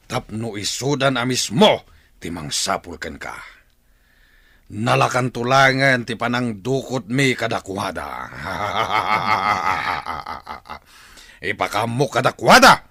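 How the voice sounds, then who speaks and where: Gravelly, menacing villain chief acted by a man. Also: fairly low pitch, very animated, slow, pushed and bright, no room sound, studio quality, full character voice. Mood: gloating menace. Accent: Filipino, Ilocano. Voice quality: gravelly, husky. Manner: menacing, mocking. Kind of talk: radio drama acting.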